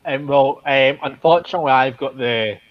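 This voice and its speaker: A man's voice: medium pitch, moderate pace, no echo. A man from Glasgow with a Glaswegian accent, a guest in a casual livestream chat, talking hesitantly with plenty of ums and a self-deprecating tone. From Glasgow, Scotland. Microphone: video call webcam. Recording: dry, muffled video call audio.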